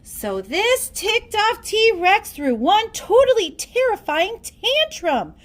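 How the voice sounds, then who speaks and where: High, very animated woman storyteller. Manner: theatrical, deliberate storytelling. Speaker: storybook reader. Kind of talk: children's read-aloud narration.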